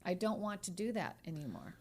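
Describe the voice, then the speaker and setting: Woman, 60s, lawyer co-host. Lowish, gravelly voice, frank, emphatic delivery. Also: sing-song, a little fast. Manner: emphatic and frank, repeats phrases while searching for words. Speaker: podcast co-host, trial lawyer. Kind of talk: candid podcast conversation.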